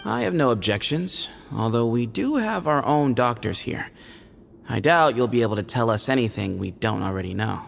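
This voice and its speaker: Gravelly, solemn temple heir. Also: male voice, mid-range voice, animated, faint music behind. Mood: guarded. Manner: formal, measured delivery. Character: solemn male temple heir guarding tradition, formal and pragmatic. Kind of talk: video game voice acting.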